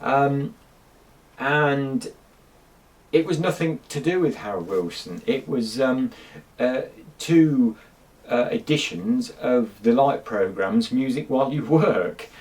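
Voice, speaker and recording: A man's voice: medium pitch, lively intonation, slow pace, darkish tone, distant mic. A middle-aged man, casually talking about old tape recordings, rambling with many ums and restarts. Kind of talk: casual chat to camera.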